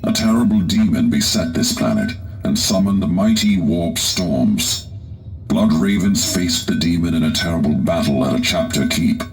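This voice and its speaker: A man playing a grave, troubled warrior medic in a video game cutscene, speaking in a solemn, weary, deliberate way. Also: low-pitched, lively intonation, soft background music, rough recording. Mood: somber, troubled. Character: grave, troubled armored warrior medic confessing dark secrets.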